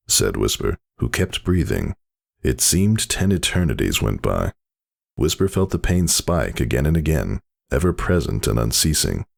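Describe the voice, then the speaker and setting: A man giving a dramatic, theatrical story narration with intense, measured phrasing. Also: rumbling low, vocal fry.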